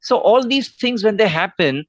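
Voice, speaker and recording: A man's voice: high-pitched, lively intonation, normal speed, no echo. Earnest Indian-accented man tutoring English. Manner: earnest, advice-giving, many "uh" fillers. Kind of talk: one-on-one online English lesson.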